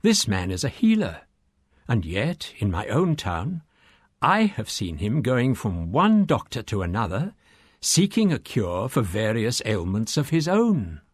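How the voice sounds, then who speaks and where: A man's voice: medium pitch, huge pitch swings, unhurried, slightly rough, no echo, clean studio sound, full character voice. A man voicing an astonished traveller in a storybook reading, marvelling in a confidential way. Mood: amazed. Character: astonished male traveller confiding doubts about his host. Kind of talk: audiobook storytelling.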